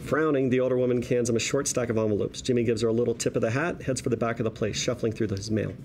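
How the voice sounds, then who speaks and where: A man with a dark, mellow voice of middling pitch narrates a script. He reads the stage directions at a table read, with faint noise behind him. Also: steady tone, fast. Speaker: narrator reading screenplay directions.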